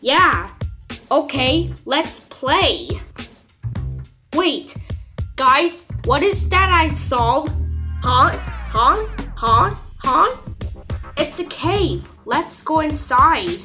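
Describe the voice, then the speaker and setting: High bright woman voicing amateur cartoon dialogue. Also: sing-song, very slow, long pauses, soft background music.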